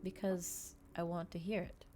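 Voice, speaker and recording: A woman's voice: on the low side, flat delivery, unhurried, dark tone, a little hiss or hum, natural voice. A woman voicing a nervous, earnest young male interviewer, hesitant in manner, for an audiobook.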